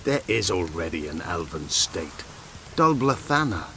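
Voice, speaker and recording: Elf rebel leader, proud, bitter, low edged voice, grave oratory, fantasy game, faint noise. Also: male voice, very animated, a little slow, exaggerated voice. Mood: proud, fervent. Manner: grave, declamatory, forceful. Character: proud, bitter male elven rebel commander. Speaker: video game character actor. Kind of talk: game dialogue acting.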